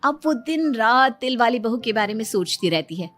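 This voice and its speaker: A woman narrates a scripted bedtime story in a fairly high voice. Faint music plays underneath her as she tells it. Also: lively intonation, normal speed, a bit bright. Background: background music.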